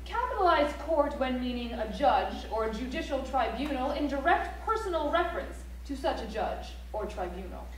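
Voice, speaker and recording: High, lively, prim rule reciter. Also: female voice, normal speed, hardly any pauses, slight background noise. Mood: prim, instructive. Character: prim instructive woman reciting style manual rules. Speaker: stage actor. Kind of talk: theatrical recitation of rules. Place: library auditorium stage, live play. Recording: echoey auditorium from far off, background ambience.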